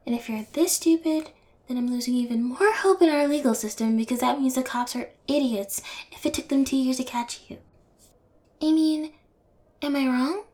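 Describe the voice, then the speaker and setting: A woman's voice: high voice, moderate pace, warm tone, natural voice. A woman playing a sarcastic, fearless young prison inmate in an audio roleplay, taunting and nonchalant toward her cellmate. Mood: sarcastic, annoyed.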